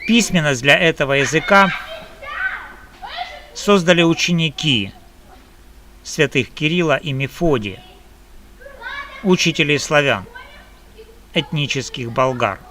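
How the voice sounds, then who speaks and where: Man, from Ukraine, video blogger, opinion monologue, very slow, emphatic measured phrases. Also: fairly high pitch, expressive, pushed and bright, a little hiss or hum.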